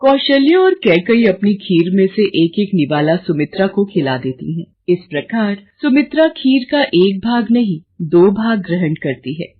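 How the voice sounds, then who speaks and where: Animated woman narrating a devotional story. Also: medium pitch, moderate pace, hardly any pauses, breathy edge, narrow, tinny audio.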